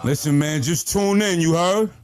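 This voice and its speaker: A man with a Brooklyn New York accent giving slangy, emphatic shout-outs as a rap guest, repeating his catchphrases. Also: on the high side, normal speed, clean tone. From New York, United States. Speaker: guest rapper. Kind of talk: freestyle rap, then hyped shout-outs. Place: radio show studio lounge. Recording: voice over loud hip hop music. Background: loud hip hop beat.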